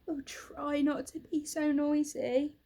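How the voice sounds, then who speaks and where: A woman's voice: sits high, level tone, takes it slowly, dark tone, pitched above the performer's own voice. A woman voicing a polite, considerate toy rabbit in a storybook.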